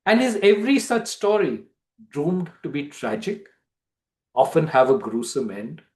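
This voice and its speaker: Animated male storyteller with dramatic swings. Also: on the high side. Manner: theatrical, with deliberate pauses. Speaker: storyteller giving a dramatic reading. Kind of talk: dramatic storytelling to a book club.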